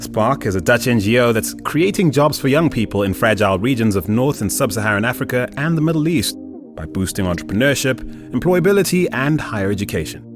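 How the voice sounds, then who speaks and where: A man's voice: mid-range voice, lively intonation, a little fast, over music. A man hosting a podcast, moving between scripted narration and conversational interviewing. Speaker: podcast host.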